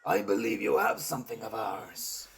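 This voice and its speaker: A man playing a blunt, bickering, excitable sidekick with a gravelly voice in an amateur audio drama. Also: lowish voice, moderate pace, a little hiss or hum.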